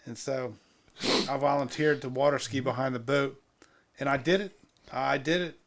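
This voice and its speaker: A man's voice: mid-range voice, steady tone, moderate pace. A middle-aged man co-hosting a podcast, reminiscing in casual, emphatic talk and repeating his phrases for effect. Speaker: podcast co-host.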